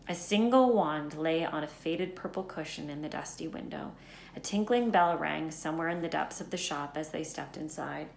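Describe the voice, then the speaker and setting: A woman's voice: low-pitched, fairly bright tone, slight background noise. A woman narrating a children's book aloud as a storyteller. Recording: echoey room.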